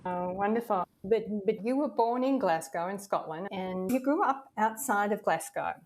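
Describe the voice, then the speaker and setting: Podcast host woman, measured and clear. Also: mid-range voice. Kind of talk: scripted intro and interviewing.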